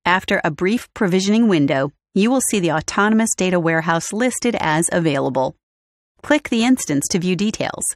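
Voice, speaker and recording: Low-voiced woman reading a software tutorial. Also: brisk, no echo, studio quality. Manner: clear, even instructional delivery. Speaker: tutorial narrator. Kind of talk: scripted product walkthrough narration. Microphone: studio voice-over.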